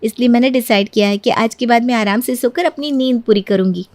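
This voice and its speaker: A woman's voice: on the high side, fairly quick, a little mellow, slight background noise, close to an everyday voice. A woman voicing a stubborn, coy young daughter-in-law in a cartoon moral story, mock-innocent and self-justifying.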